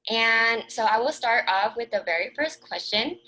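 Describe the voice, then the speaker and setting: A woman's voice: a little high, expressive, normal speed, bright, forceful tone, rough recording. A young woman from Taiwan speaking as co-host, putting prepared questions to a guest. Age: young adult. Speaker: co-host, intern.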